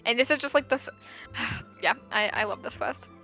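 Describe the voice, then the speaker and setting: High, bright young woman on lo-fi audio. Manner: hesitant, halting phrasing with repetitions. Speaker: gamer commentator. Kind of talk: casual gameplay chat. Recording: dull, lo-fi voice chat with music.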